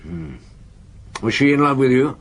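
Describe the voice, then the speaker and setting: An actor playing a patient, probing senior police chief inspector in a radio drama, with a terse, authoritative, questioning manner. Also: male voice, mid-range voice, big pitch swings, moderate pace, slightly rough. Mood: probing, stern.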